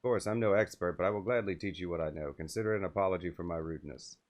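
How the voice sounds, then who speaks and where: Man voicing a formal, low-voiced castle gate guard. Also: very fast, lightly acted. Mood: proud, explanatory. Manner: formal, wordy. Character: talkative castle gate guard, apologetic and proudly explanatory.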